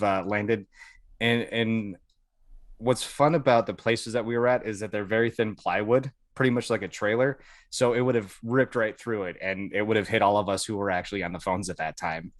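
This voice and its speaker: An American man with a fairly low voice tells stories as a podcast guest. His delivery is casual and colloquial, with an amused edge. The sound is very dry, with no room around it. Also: dry recording. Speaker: podcast guest, Air Force veteran. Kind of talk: casual anecdotal storytelling.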